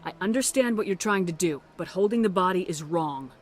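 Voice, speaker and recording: A woman's voice: on the low side, animated, brisk. An actress voicing a resolute, blunt woman military commander in a video game, speaking firmly. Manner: firm, assertive. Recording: cinematic music behind dialogue.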